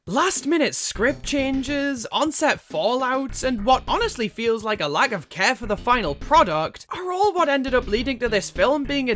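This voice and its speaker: Animated, higher-pitched woman narrating a video essay. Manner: opinionated, emphatic, with casual swearing. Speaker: video essayist reviewing video game movies.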